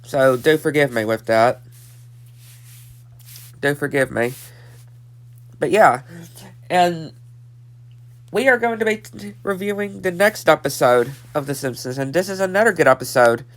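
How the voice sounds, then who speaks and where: A man's voice: on the high side, a little slow, long pauses. A nineteen-year-old man with a stuffed-up nose, casually recapping a cartoon episode and repeating himself with lots of fillers. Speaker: episode reviewer.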